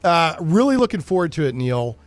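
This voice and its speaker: A man's voice: fairly high pitch, sing-song, a little fast, no echo. A man hosting a casual live radio interview in a chatty, enthusiastic way. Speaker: radio show host.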